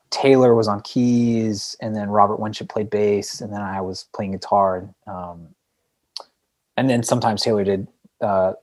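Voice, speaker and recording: Man telling band stories casually. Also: lowish voice. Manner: rambling, peppered with like and you know. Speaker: podcast guest, indie band frontman. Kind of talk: casual conversational storytelling.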